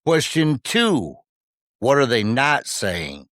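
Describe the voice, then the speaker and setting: A man's voice: medium pitch, sing-song, takes it slowly, a bit bright, dry recording, clean studio sound. A man co-hosting a podcast, delivering scripted commentary in short, punchy rhetorical phrases with wry humour. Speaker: podcast co-host.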